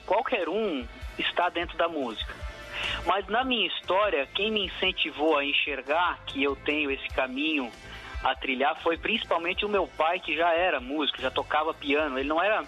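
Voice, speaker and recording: A man's voice: on the high side, bright, forceful tone, poor audio. A young adult man from southern Brazil with an Italian-immigrant-tinged southern Brazilian accent, a musician answering a radio interview reflectively, with many restarts and fillers. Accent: southern Brazilian Portuguese, Italian-immigrant influenced. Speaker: musician guest.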